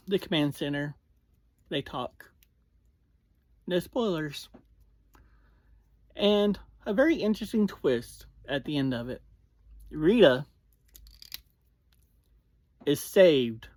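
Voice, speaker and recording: A reviewer with a mid-range voice talks through a recap in a casual, informal way. The pace is slow, broken into short phrases with self-corrections. Listeners are addressed directly, and the sound is dry with no room echo. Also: mid-range voice, expressive, darkish tone. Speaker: podcast reviewer.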